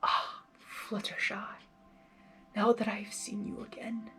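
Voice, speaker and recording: A woman voicing a weak, tender male chimera creature in a storybook reading, speaking in a soft, breathy, resigned half-whisper. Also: mid-range voice, little pitch movement, slow pace, soft background music. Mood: weak, sorrowful. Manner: faint, gentle, resigned.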